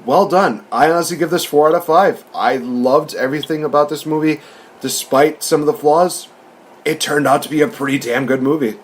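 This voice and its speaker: A man's voice: a little high, normal speed, background noise. A man hosting a casual review discussion, recapping and commenting in an informal, conversational way. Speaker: podcast host reviewing films.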